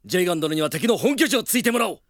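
A man's voice: high voice, big pitch swings, very fast, a bit bright, no room sound, clean studio sound. A male actor playing a composed noble knight commander in a radio drama, formal, courteous and resolute. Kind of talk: Japanese radio drama acting.

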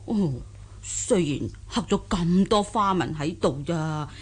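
A woman playing a simple, good-humoured old country granny in a radio drama, with a husky aged voice, folksy exclamations and hesitant musing. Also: lowish voice, slow. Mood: musing, boastful. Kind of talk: Cantonese radio drama acting.

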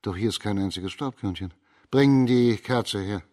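Low-voiced, commanding magistrate, acted by a man. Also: a little mellow, natural voice. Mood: pondering. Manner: commanding, deliberate questioning. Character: authoritative, shrewd imperial Chinese magistrate, investigating a murder. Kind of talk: German radio crime drama acting.

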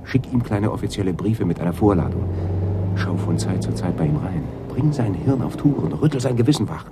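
Deep-voiced, impatient police sergeant, lively. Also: male voice, brisk, heavy background noise. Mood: scheming, impatient. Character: impatient, mischievous, hot-headed male police sergeant. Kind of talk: radio drama acting.

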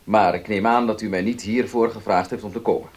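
A man's voice: lowish voice, steady tone, brisk, close to an everyday voice. An actor playing a proud, distrustful male heir in a Dutch radio play, speaking formally, bluntly and emphatically.

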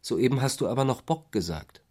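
A man's voice: medium pitch, expressive, a little fast, a little mellow, natural voice. A man playing a troubled, sceptical husband in a literary radio drama, questioning and uneasy, with reproach in his manner. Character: Walter, troubled, sceptical husband of an eccentric woman.

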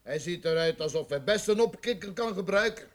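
A man's voice: high voice, lively intonation, fairly quick. A Flemish man acting in a radio drama as an arrogant, boisterous young master with a mocking, taunting manner. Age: young adult. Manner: jeering, sing-song taunting.

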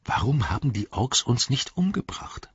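A man voicing a frightened, cold young male hobbit held captive, asking himself anxious, self-reproaching questions in a husky voice. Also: mid-range voice, sing-song, moderate pace, dry recording, muffled recording, voice pushed up. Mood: worried. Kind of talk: audiobook character voice.

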